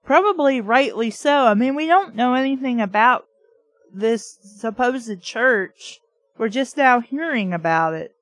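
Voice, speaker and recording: A woman with a fairly high voice reads fiction aloud in a dry, close recording. She slips between narration and her own off-the-cuff commentary. Her manner is blunt, opinionated and mocking, with plenty of swearing. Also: animated, measured pace, no echo. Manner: opinionated, argues back at the text. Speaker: host reading and critiquing a book aloud.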